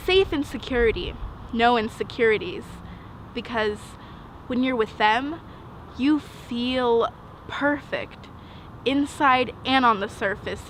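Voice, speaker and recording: A young woman in her teens performing rhythmic spoken word poetry, earnest and emphatic, with dramatic pauses. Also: on the high side, fairly bright tone, rough recording. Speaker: slam poet. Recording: outdoor urban noise, passing vehicles.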